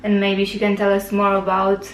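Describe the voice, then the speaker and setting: A woman's voice: mid-range voice, completely flat delivery, moderate pace. A young woman from Romania with a Romanian accent, hosting a casual interview and often nodding along with mm-hmms. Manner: friendly, frequent mm-hmms. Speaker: host, YouTuber. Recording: echoey room, faint background noise.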